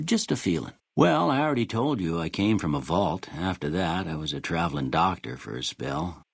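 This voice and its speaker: An actor playing a kindly, world-weary old small-town doctor in a video game, with a husky voice and a folksy, rustic American drawl. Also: male voice, deep voice, no echo, clean studio sound, full character voice. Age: older. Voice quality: husky, gravelly. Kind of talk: video game character dialogue.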